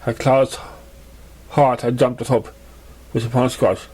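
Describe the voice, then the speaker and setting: A man's voice: medium pitch, flat delivery, a little slow, darkish tone, slightly rough, low-quality recording. A man reading fan fiction aloud as an amateur narrator, often stumbling over words and restarting them. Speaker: amateur story reader.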